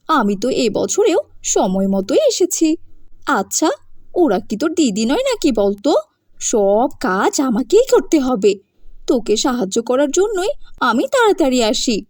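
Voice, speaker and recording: Casting: scolding, proud eldest sister for a cartoon, acted by a woman, high voice with big swings. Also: darkish tone, dry recording, studio quality. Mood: grumbling. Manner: scolding, self-righteous. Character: bossy, grumbling eldest sister, a proud schoolteacher. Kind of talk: cartoon voice acting.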